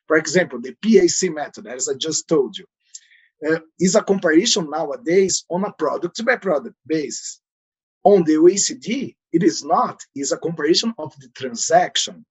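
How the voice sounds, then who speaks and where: Brazilian consultant, native Portuguese, fairly high-pitched man, careful explainer with hesitations. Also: a little mellow, husky, low-quality recording. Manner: explanatory, with frequent "uh" and non-native phrasing. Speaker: transfer pricing consultant. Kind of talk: podcast interview answers.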